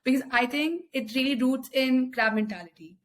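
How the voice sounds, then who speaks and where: A woman's voice: high-pitched, normal speed. A young woman with an Indian accent, co-hosting a casual podcast, talking hesitantly with many fillers. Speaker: podcast co-host.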